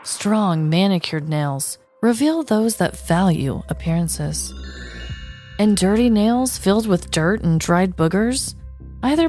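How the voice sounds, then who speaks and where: A woman's voice: fairly low pitch, darkish tone. A woman co-hosting a comedy podcast, moving between casual chat and scripted storytelling. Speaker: podcast co-host.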